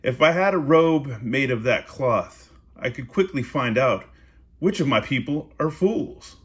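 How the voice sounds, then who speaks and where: A man's voice: mid-range voice, animated, unhurried, husky. A man voicing a vain, gullible storybook emperor, exclaiming and musing theatrically. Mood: scheming.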